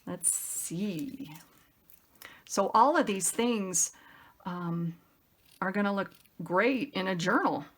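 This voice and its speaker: A woman's voice: medium pitch, lively intonation, takes it slowly, long pauses, breathy edge. A woman hosting a craft tutorial in a casual, chatty style, upbeat and effusive, thinking aloud as she works.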